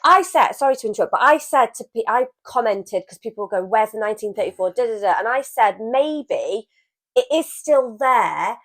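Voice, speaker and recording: A woman's voice: a little high, lively intonation, a bit bright. A woman co-hosting a casual podcast, chatty and upbeat. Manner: chatty, upbeat, quick to laugh. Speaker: podcast co-host.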